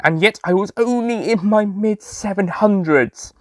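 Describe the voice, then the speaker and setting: A man's voice: high voice, measured pace, no room sound, clean studio sound, voice pushed up. A man playing a young, sweet-obsessed alien Time Lord who tells his story in a chatty, self-amused way, full of asides. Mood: reminiscing. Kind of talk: first-person audio story narration in character.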